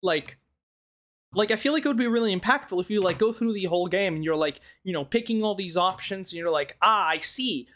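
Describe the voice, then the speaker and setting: A man gives casual video game commentary in a slightly hoarse, strained voice. He thinks aloud as he goes, rambling in a conversational way as the host. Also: high-pitched, fairly quick, a bit bright.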